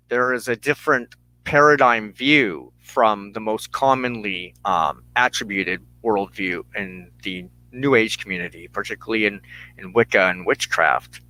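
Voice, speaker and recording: Slow, deliberate man with a bright voice. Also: mid-range voice, no room sound, poor audio. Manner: halting, deliberate phrasing. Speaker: host of a spiritual broadcast. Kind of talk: reflective spiritual talk.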